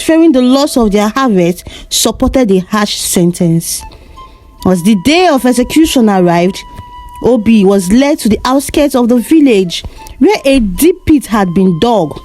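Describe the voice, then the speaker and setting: Female storyteller for scripted folktale narration, measured and solemn, higher register with animated intonation.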